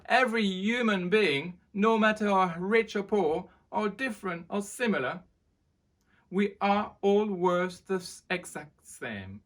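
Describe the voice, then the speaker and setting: A woman's voice: mid-range voice, measured pace, voice pushed up, natural voice. A woman voicing a young storybook prince, earnest and loving, speaking out emphatically. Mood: earnest, defiant. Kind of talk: storybook character reading.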